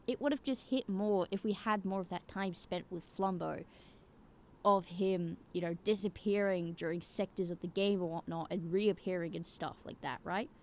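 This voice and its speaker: A woman's voice: fairly low pitch, steady tone, moderate pace, darkish tone, a little hiss or hum. A woman giving casual, rambling opinion commentary in an emphatic way, swearing casually and checking in with the listener. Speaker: YouTuber giving game opinions.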